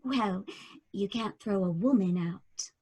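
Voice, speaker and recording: An actress playing a calm, eerily cheerful woman passenger in an old-time radio drama, insistent and serenely unbothered. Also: mid-range voice, slow, slightly rough. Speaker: cab passenger.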